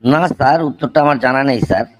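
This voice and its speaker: A man's voice: mid-range voice, quick pace, close to an everyday voice. A man with a Bangladeshi accent voicing a polite, hesitant cartoon quiz contestant who puzzles things out aloud. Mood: apologetic pleading. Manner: deferential, musing, hesitant. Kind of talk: cartoon comedy dialogue.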